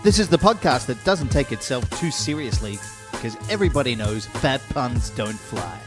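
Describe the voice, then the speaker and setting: A man's voice: mid-range voice, lively intonation, moderate pace, music in the background. A man reading a scripted podcast promo as its host, with a theatrical announcer delivery.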